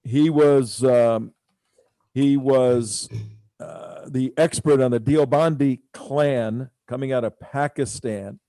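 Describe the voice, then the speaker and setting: A man's voice: medium pitch, slow, warm tone, no room sound. A man hosting a radio talk show, speaking casually and rambling with many fillers.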